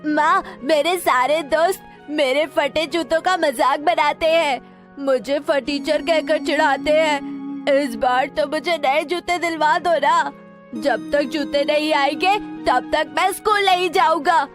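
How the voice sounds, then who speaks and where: A woman's voice: high voice, slow, over music, pitched above the performer's own voice. A woman voicing a hurt, tearful twelve-year-old village boy who whines and pleads in a children's story. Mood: tearful pleading. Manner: whining, pleading.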